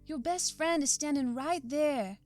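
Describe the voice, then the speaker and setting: Woman doing sweet earnest grandma for a fantasy visual novel, quite high pitched, soft music behind. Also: measured pace. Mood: tender, earnest. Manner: tender, earnest, reassuring. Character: gentle elderly grandmother who runs a farm.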